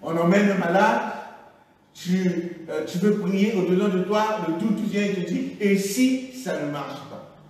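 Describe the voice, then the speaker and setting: Emphatic high-voiced preacher in echoey hall. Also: male voice, a little slow. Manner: emphatic preaching, frequent alleluias, shifts between loud and hushed. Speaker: pastor. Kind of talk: sermon. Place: church hall. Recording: echoey church hall, voice from far.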